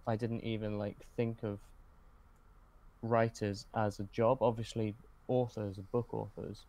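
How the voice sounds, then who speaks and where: Young guy guesting on a podcast, lowish flat voice, hesitant, chill, pauses a lot mid sentence. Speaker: podcast guest, script writer. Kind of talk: casual reminiscing in interview.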